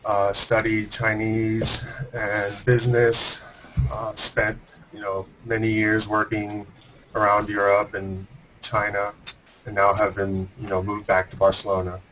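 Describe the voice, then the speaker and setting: A man's voice: on the low side, a little slow, far from the mic, slight background noise. A young man raised between Spain and the United States, introducing himself casually on a video call. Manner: flat, casual, uses "you know". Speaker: panelist. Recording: dull lo-fi video call audio.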